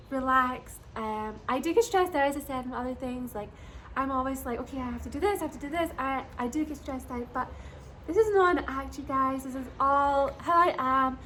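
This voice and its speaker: A young woman with a high voice talks straight to her viewers in a bubbly, gushing way, giggling as she goes. A faint hiss sits under her voice. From Scotland. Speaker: vlogger. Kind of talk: casual chat to camera.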